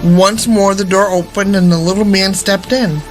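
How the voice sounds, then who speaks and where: A woman's voice: medium pitch, fairly even intonation, moderate pace. A woman storyteller reading a fairy tale aloud expressively. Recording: music behind the voice. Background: background music.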